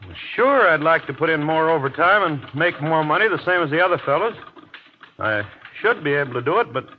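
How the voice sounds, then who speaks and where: Casting: man as earnest wartime worker for vintage radio ad, fairly high voice, noisy recording. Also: expressive, fairly quick, highs cut off, close to an everyday voice. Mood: weary, worried. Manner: earnest testimonial delivery. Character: weary, jittery wartime worker in a radio advertisement. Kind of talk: 1940s radio commercial acting.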